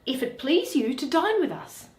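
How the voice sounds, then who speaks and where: A woman voicing an eager, courteous young gentleman in a Shakespeare play, earnestly pressing his request. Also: high voice, sing-song, moderate pace, voice pushed up. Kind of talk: dramatic Shakespeare reading. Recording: roomy echo.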